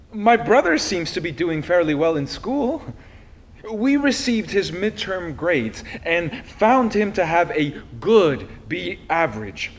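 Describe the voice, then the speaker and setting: A man reading a personal letter aloud expressively, with deliberate phrasing and pauses. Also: a little high. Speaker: reader of a historical letter.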